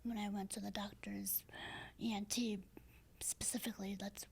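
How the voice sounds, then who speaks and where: A woman in her thirties with a low, raspy, breathy voice, speaking softly and hesitantly as a podcast guest, with many fillers and restarts. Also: little pitch movement, normal speed, slight background noise. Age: 30s. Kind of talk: casual interview talk.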